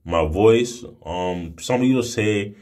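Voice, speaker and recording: A young man in his twenties with a fairly low voice delivers a casual monologue to camera. His talk is brash and slangy, full of emphatic swearing. He sounds like a video blogger recording on a phone indoors. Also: slow pace, a little mellow. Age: 20s. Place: small room indoors. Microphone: phone at arm's length.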